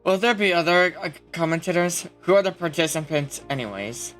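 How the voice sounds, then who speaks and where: A woman's voice: fairly low pitch, animated, a little fast, soft background music. A woman streaming a video game, reacting casually with informal, sweary interjections.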